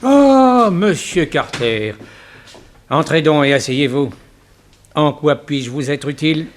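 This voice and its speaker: An actor playing an obliging, effusive grand hotel director in an early sixties radio drama, polished and eager to please. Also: male voice, on the high side, animated, slow, slight background noise.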